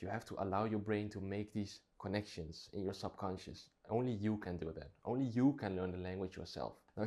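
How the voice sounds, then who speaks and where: A man's voice: on the low side, darkish tone. A Dutch-native man talking casually to camera, reflective and thinking aloud with frequent restarts. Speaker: vlogger.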